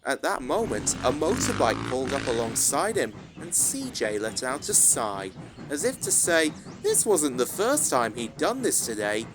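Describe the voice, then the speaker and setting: A man's voice: mid-range voice, sing-song, normal speed, creaky, noisy background. A man reading a scripted children's story as a narrator, with music behind him. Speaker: narrator of a fan dub.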